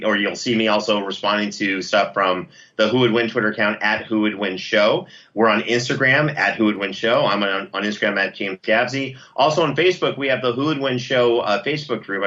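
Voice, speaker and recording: A man with a bright, forceful voice gives a casual interview as a guest. He is enthusiastic and effusive, and the audio is a little dull and lo-fi. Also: lowish voice. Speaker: podcast producer and guest.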